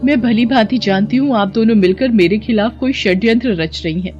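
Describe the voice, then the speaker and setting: A woman voicing a quick-tempered goddess of knowledge in an animated story, speaking in an accusing, indignant way. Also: on the high side, muffled recording, faint music behind, close to an everyday voice.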